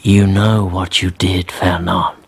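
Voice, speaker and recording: An actor playing a sly, pompous, wealthy baron in a radio drama, speaking in a mocking, evasive way. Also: male voice, deep voice, flat delivery, slow, creaky, husky, heavily acted. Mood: smug, sly. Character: smug, sly, self-important wealthy baron.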